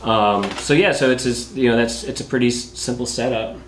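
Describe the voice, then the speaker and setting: A man's voice: mid-range voice, fairly quick. A man in his forties giving a conversational, explanatory product interview as a guest, peppered with filler phrases like "you know.". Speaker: guest, drone app company representative. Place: indoor workshop with hard walls. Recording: roomy echo, clear background noise, clicks.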